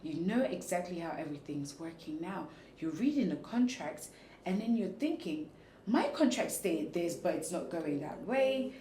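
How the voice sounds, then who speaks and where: A woman's voice: fairly low pitch, moderate pace. A young woman telling her story frankly as an interview guest, acting out what people said to her. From Ghanaian heritage, lives in Belgium. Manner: frank, animated, acts out quotes. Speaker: interview guest, former pageant winner. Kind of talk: candid conversational storytelling. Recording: echoey room with faint ambient noise.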